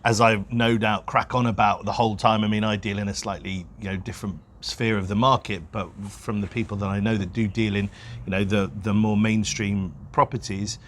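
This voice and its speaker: Low-voiced man chatting over background noise. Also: flat delivery, fairly quick. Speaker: podcast co-host, real estate broker. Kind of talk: casual unscripted podcast chat.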